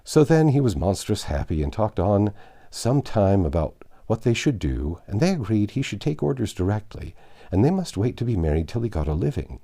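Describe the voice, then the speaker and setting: A man voicing a gossipy, excitable, vulgar young Regency woman in a storybook reading, chattering on in run-on sentences. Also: lowish voice, moderate pace. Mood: confiding, excited.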